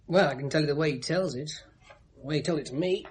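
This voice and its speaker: A man with a British accent acting an unruffled police colleague in a 1970s radio drama, explaining things in a wry, measured way. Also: on the high side, close to an everyday voice. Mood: matter-of-fact. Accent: British English.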